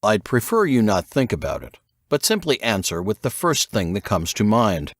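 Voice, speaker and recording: A man voicing a stern, probing old intelligence veteran in an audiobook, terse, commanding and deliberate. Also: on the low side, very animated, dry recording, clean studio sound. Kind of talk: audiobook character dialogue.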